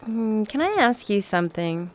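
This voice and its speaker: An American woman playing a sincere, candid, soft-hearted young woman in a video game drama. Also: medium pitch, big pitch swings, moderate pace, close to an everyday voice. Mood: curious, tentative. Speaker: voice actor in a game. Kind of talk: video game cutscene dialogue.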